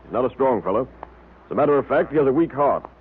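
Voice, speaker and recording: Brisk ship's captain man acting, old radio sound. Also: mid-range voice, animated, narrow, tinny audio, natural voice. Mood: worried. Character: worried, courteous male ship's captain. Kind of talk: 1930s-40s radio drama acting. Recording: thin, noisy vintage radio recording.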